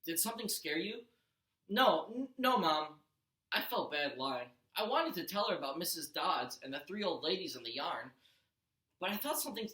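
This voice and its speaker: A man's voice: a little high, bright, forceful tone. A man, a teacher, narrating a first-person storybook aloud with expression. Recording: roomy echo.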